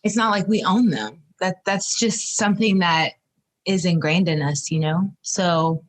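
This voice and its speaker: A woman's voice: fairly low pitch, a little slow. A young woman from Trinidad, a doula and guest, talking reflectively in a casual interview with many fillers and restarts. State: three months postpartum.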